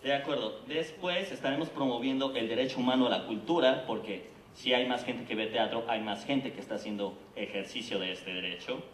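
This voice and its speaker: A man's voice: medium pitch, brisk, few pauses, slight background noise. A man with a Mexican Spanish accent giving a persuasive presentation at a theater congress, often checking in with the audience. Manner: earnest, persuasive, frequent "¿no?" and "¿de acuerdo?". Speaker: conference speaker presenting a theater project. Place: conference hall. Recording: echoey hall, voice from a distance.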